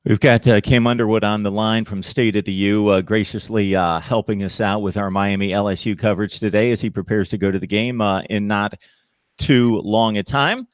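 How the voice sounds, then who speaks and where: A man with a fairly low voice hosts a college football talk show. He asks long, halting questions full of uhs. The recording is close and dry. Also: fairly even intonation, no echo. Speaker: show host. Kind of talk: sports talk show hosting.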